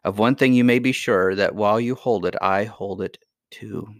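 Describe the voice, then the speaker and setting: A man voicing a kindly, mysterious grandmother in a fairy tale, gentle, patient and reassuring. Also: on the low side, moderate pace, no echo, clean studio sound, natural voice. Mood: patient, instructive. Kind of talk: acted dialogue in a children's fairy tale reading.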